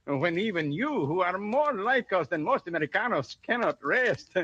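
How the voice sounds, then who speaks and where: Animated Mexican ranchero, old radio drama. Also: male voice, high voice, moderate pace, dry recording, exaggerated voice. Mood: hearty, teasing. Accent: Mexican Spanish. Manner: exclamatory, chuckling, courtly speech. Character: jovial Mexican ranchero and doting father. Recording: muffled old radio recording.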